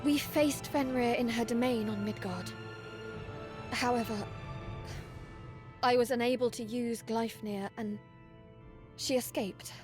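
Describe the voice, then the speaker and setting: A woman voicing a dutiful young warrior maiden in a video game, speaking formally and earnestly in a measured way. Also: on the high side, steady tone, music in the background, close to an everyday voice. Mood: solemn, contrite. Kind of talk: video game cutscene acting.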